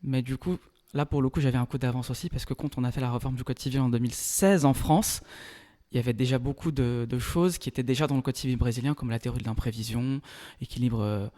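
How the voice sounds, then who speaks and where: Guest lawyer, thirties, from Brazil, Portuguese. Mellow, measured, pauses to find words. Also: male voice, medium pitch, steady tone. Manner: measured, explanatory, searching for words. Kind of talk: casual interview talk.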